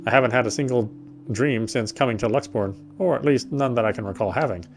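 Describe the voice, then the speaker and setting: A man's voice: mid-range voice, fast talker. Male narrator for horror visual novel, dramatic storytelling, occasional stumbles, music underneath. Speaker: gameplay streamer reading a visual novel aloud. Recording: music behind the voice. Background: game music.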